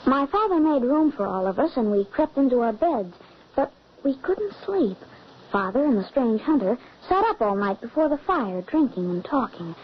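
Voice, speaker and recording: An anxious, earnest young girl in a vintage radio drama, high and lively in pitch. The recording is dull with a faint hiss behind her. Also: moderate pace. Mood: narrating. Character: frightened young girl narrating her past. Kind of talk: 1940s radio acting.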